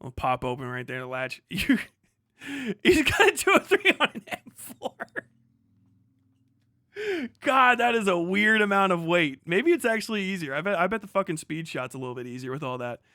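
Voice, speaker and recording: A middle-aged man with a fairly high, bright and punchy voice, recorded dry and close. He talks like a livestream host reacting off the cuff, loose and slangy, swearing freely as he rambles. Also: sing-song, brisk, no room sound. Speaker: livestream host, former Marine. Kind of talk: casual reaction commentary.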